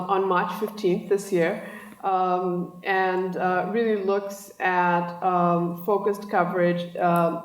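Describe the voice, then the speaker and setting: A woman giving a panel presentation with slides, speaking haltingly with many pauses and ums. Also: fairly low pitch. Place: large hall. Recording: echoey hall from far off.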